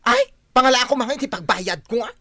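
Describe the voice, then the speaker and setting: A woman's voice: fairly high pitch, wildly swooping pitch, fast talker, a bit bright, heavily acted. An actress playing a dramatic young friend who dodges her debts, in a radio drama. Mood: alarmed.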